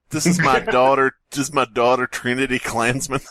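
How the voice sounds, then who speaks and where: A man's voice: medium pitch, little pitch movement, normal speed, fairly bright tone, no room sound. A man co-hosting a comedy podcast, casual, profane and joking as he chats and reads aloud. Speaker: podcast co-host.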